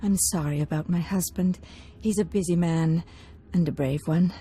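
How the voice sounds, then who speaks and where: An actress playing an earnest, coaxing noblewoman, gentle in manner, in a video game. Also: fairly low pitch, normal speed, close to an everyday voice. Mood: earnest, pleading. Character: earnest, seductive noblewoman, baron's discontented wife. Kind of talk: scripted video game acting.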